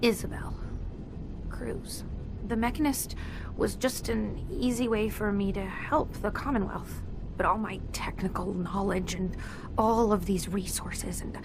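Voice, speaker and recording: A woman acting an earnest, socially awkward robot inventor in a video game, halting and defensive. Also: mid-range voice, steady tone, natural voice. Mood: weary, confessing. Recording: clear background noise and ambience.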